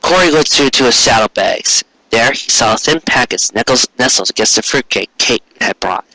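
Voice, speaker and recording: A man's voice: mid-range voice, expressive, moderate pace, gravelly, pushed and bright, dry recording, rough recording. A man reading a scripted story aloud as an audiobook narrator. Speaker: audiobook reader.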